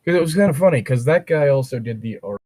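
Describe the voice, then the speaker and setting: A man's voice: medium pitch, expressive, fast, darkish tone, no room sound. A man reading fan fiction aloud with casual, joking banter and digressive commentary. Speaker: co-reader and commentator.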